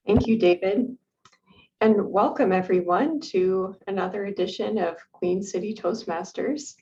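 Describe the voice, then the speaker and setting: A woman's voice: medium pitch, unhurried, dark tone. A woman chairing a club meeting as its president, in a friendly, welcoming way. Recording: dull lo-fi sound.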